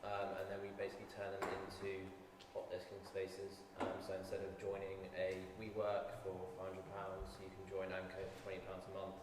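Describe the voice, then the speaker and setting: A man with a low, flat voice gives a quick pitch for his company's service, filling pauses with "um". He is far from the mic in a very echoey hall with room noise. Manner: flat, sales-pitch delivery with "um" fillers. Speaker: company representative. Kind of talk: casual pitch.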